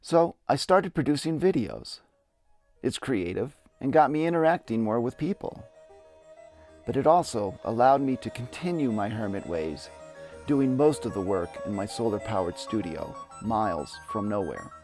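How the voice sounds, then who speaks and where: A man's voice: medium pitch, sing-song, normal speed, darkish tone. A man giving a reflective, earnest dramatic reading of his own memoir. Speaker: memoirist narrator. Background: music.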